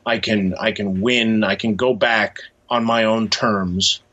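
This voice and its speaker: A man's voice: on the low side, steady tone, takes it slowly, sharp tone, muffled recording. A middle-aged man being interviewed, reflecting thoughtfully and hesitantly, picking emphatic words. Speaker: interview guest, author.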